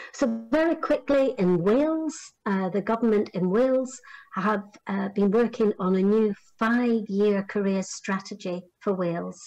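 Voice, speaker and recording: A middle-aged woman from Northern Ireland giving a conference address on career guidance, measured and full of small fillers and restarts. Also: mid-range voice, mellow, highs cut off.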